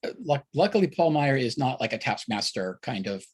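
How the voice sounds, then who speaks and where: A woman's voice: extremely low pitch, very animated, a little fast, slightly rough. A woman hosting a casual interview in a conversational way. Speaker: host.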